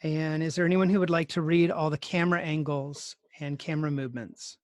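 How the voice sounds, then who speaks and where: Man, teacher, fairly high pitch, conversational instruction, encouraging, very dry close sound. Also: steady tone, brisk, a little mellow, no room sound. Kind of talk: conversational online class instruction. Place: indoors on a video call.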